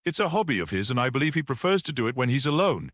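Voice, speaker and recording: A man voicing a brisk, suspicious police detective who questions sharply and gives orders in a story. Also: medium pitch, very animated, no room sound, clean studio sound, voice pushed up. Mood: matter-of-fact. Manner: sharp, interrogating.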